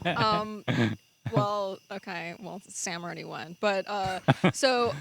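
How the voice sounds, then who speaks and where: A woman's voice: medium pitch, slow pace, vocal fry, a bit bright, a little hiss or hum. A woman from New Jersey talking casually and candidly on a podcast, with a self-mocking streak. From New Jersey, United States.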